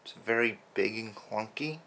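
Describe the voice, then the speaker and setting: A man quietly rambling as he paints a toy, his throat a little rough from early-morning allergies. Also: fairly low pitch, normal speed, a little hiss or hum. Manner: soft-spoken, rambling with fillers. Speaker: toy customizer. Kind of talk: casual hobby narration while painting.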